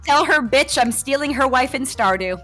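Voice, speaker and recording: A woman's voice: sits high, expressive, normal speed, pushed and bright, faint music behind. A woman chatting casually about games as a guest on a stream. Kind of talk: casual gaming chat.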